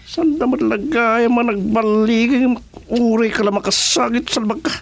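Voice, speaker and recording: A man with an Ilocano accent playing a gruff, demanding crime boss in a radio drama. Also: extremely high pitch, normal speed, exaggerated voice. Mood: stern, demanding. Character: gruff male crime boss giving orders on the phone.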